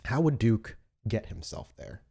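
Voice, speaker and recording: A man narrating a tabletop roleplaying game as storyteller, in a dramatic, descriptive and deliberate way. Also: fairly low pitch, big pitch swings, gravelly, warm tone, slightly rough.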